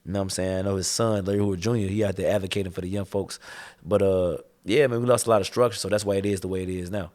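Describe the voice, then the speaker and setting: A man's voice: deep voice, steady tone, very fast, a little mellow. A young man from the Chicago area, a rapper talking casually in an interview, laid-back and slangy, often saying "you know what I'm saying". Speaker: rapper guest.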